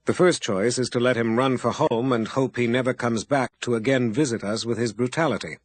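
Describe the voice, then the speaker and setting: A man's voice: medium pitch, steady tone, a little fast, natural voice. A man voicing a stern, powerful male wizard with firm, weighty speech. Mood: commanding.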